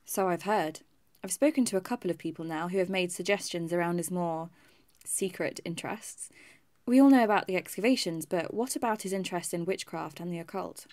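An actress playing a polite, hesitant podcaster asking questions. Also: female voice, on the low side, brisk, a little mellow, dry recording, natural voice. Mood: curious. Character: young female podcaster interviewing sources.

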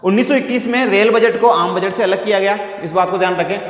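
A man's voice: high voice, fast. A man teaching a class, repeating phrases and posing rhetorical questions. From India. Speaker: school teacher. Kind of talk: classroom lecture at a whiteboard. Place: indoor classroom. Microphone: clip-on lapel microphone. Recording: echoey, reverberant room.

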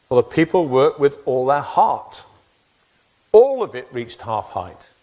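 Animated middle-aged preacher, lively pitch. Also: male voice. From England. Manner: animated, gestures widely while pacing the stage. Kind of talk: sermon. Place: church stage with wooden backdrop.

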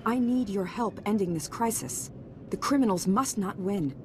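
A woman acts as a commanding game senator. She speaks in formal, authoritative phrases at an average pitch. A noticeable rumble sits behind her. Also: moderate pace, lightly acted. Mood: stern urgency. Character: stern, commanding female senator, pressed for time. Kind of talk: video game cutscene acting. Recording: noticeable rumbling background noise.

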